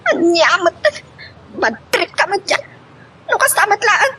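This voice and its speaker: A woman's voice: very high-pitched, sing-song, a little slow, noisy background, exaggerated voice. A woman with an Ilocano accent acting a sleepy, sulky, whiny young mistress in an audio drama.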